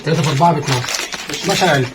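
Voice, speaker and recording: A man's voice: fairly high pitch, racing. A man with an Egyptian Arabic accent listing and counting groceries in a matter-of-fact way, heard from off-mic. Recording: off-mic with noticeable background noise.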